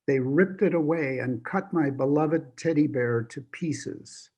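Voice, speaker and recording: A man's voice: fairly high pitch, a little slow, a little mellow, breathy edge. An elderly man in his eighties or older, reading a scripted personal account as a survivor. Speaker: Holocaust survivor giving testimony.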